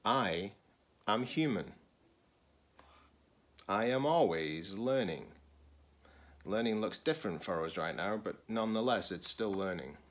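A middle-aged man, a teacher-librarian, reading a children's picture book aloud deliberately, in short phrases with pauses. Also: lowish voice, lively intonation, background noise.

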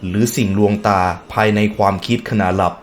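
A man's voice: fairly low pitch, steady tone, fairly quick, faint music behind. A man hosting a reaction video, reading text aloud and breaking off into casual musing remarks and hums.

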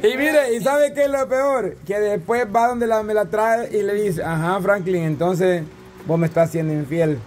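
A man's voice: sits high, moderate pace, darkish tone, faint music behind. A man with a Salvadoran accent hosting a casual group chat, teasing and pressing with repeated questions. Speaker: host of a group vlog. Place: covered open-sided yard with a crowd.

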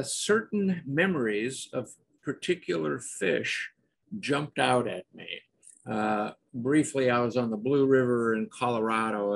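An older man hosts a casual interview, easygoing and anecdotal. His mid-pitched voice has a gravelly edge, and he talks from a room at home over a video call. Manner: relaxed, anecdotal, asks open questions. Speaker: podcast host. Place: home studio room, indoors. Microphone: over-ear headphones, video call.

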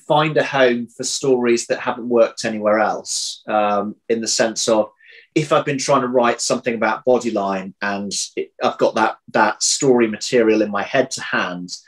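A man in his thirties with a mid-pitched voice talks through his writing in an interview. He is reflective and conversational, often breaking off to rephrase, and plays himself down modestly. He speaks indoors at home, a little way from the computer. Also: low-quality recording. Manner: thoughtful, halting, self-deprecating. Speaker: interviewed audio drama writer. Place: domestic room indoors.

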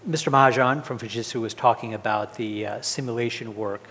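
A man's voice: mid-range voice, fairly even intonation, fairly quick, fairly bright tone. A man moderating a conference panel, putting questions to the panelists on stage. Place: on stage in a large conference hall. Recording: reverberant hall with background noise.

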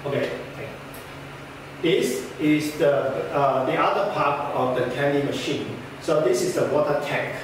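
A young man presents a product walkthrough to a room. He pauses often with "uh" fillers and uses phrasing. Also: fairly high pitch. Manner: halting, frequent "uh" fillers, non-native phrasing. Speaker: product trainer. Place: indoor meeting room with projector screen. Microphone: far camera. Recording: echoey room from far off, background noise.

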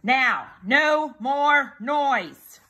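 A woman's voice: high voice, expressive, drawn-out words, bright, forceful tone, lo-fi sound, voice pushed up, heavily acted. A woman voicing an exasperated, sleepy young child in a storybook, shushing and scolding insistently. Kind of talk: storybook character acting.

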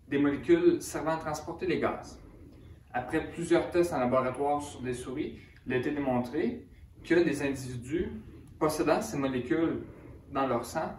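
A young man student giving a science fair presentation, steady and read-sounding. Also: a little high, slight background noise. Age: young adult. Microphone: far camera. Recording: echoey room from far off.

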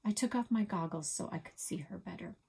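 A woman in her forties reading a children's book aloud expressively from a small office, often dropping to a soft whisper, recorded on a desk webcam. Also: on the low side, brisk, gravelly, slightly rough. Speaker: library storyteller. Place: small office with bulletin board.

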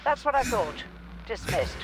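An actress playing a brusque, commanding, foul-mouthed old granny in a video game. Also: female voice, fairly high pitch, sing-song, unhurried, very noisy. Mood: threatening. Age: older.

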